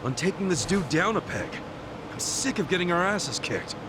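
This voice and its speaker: A man's voice: sits high, sing-song, normal speed. An actor playing a hot-blooded, earnest man in a video game, speaking bluntly and passionately in slangy English over background noise. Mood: indignant, rallying. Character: hot-blooded earnest man rallying reluctant underworld leaders.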